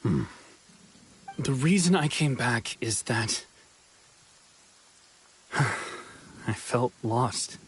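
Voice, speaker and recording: Slow, husky, gentle wistful man. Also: mid-range voice, lively intonation, faint music behind, heavily acted. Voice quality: soft, husky. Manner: subdued, hesitant, reflective. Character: gentle, resigned, wistful wandering man back home. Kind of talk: anime-style video game voice acting.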